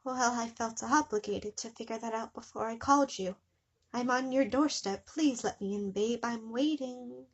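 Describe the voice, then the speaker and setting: A creepy male cartoon creature voiced by a woman, fawning and infatuated. The voice is soft and hushed, lifted a little high, with syrupy, halting delivery. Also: highs cut off. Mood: creepy wooing.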